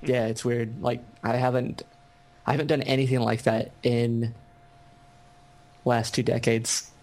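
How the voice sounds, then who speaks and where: A man's voice: medium pitch, level tone, unhurried, darkish tone. A young American man, a video game designer, chatting casually in an interview with many ums and restarts. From United States.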